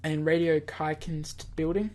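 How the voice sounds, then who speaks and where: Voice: mid-range voice, fairly even intonation, moderate pace, warm tone. A gaming commentator hosting a let's play, rambling and joking, often stumbling over and repeating words while reading a visual novel aloud.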